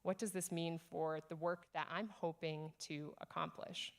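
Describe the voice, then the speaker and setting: A woman's voice: low voice, steady tone, measured pace. A woman speaking from a conference stage, earnest and encouraging as she presents leadership ideas to an audience. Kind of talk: conference keynote talk.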